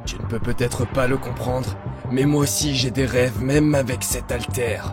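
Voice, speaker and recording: A man plays a scheming teenage boy rival from an anime fan dub. He is bitter and provoking, with an intense, resentful delivery. Loud music sits behind the voice. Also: medium pitch, steady tone, moderate pace, natural voice. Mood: taunting.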